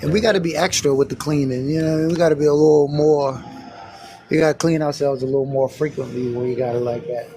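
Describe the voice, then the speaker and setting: A man in his late thirties with a somewhat high, squeaky voice, telling joking stories in a loose, profane way. A faint hiss of noise sits behind him. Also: steady tone, fast talker, dark tone. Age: late 30s. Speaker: podcast co-host. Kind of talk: casual chat.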